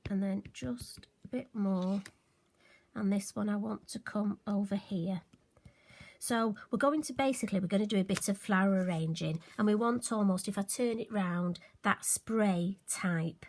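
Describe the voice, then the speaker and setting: A friendly woman talks her viewers through a card-making demonstration in a casual, self-deprecating way. Her voice is slightly hoarse and occasionally croaky, sitting at an ordinary middle pitch. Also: unhurried, darkish tone. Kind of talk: casual crafting tutorial.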